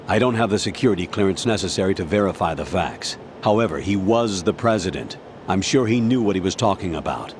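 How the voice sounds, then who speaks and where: A man playing an older colonel who commands missions in a video game, formal, measured and authoritative. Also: low-pitched, lively intonation, slight background noise. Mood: formal, grave. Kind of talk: dramatic video game voice acting.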